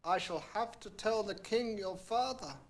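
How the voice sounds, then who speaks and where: A man voicing a polite but insistent little frog in a children's storybook, coaxing in a drawn-out, pleading way. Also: high voice, pitched above the performer's own voice.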